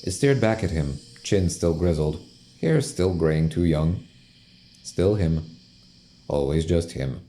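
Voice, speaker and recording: A man narrating a scripted space audio drama in measured, literary storytelling style. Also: very deep voice, warm tone, heavily acted.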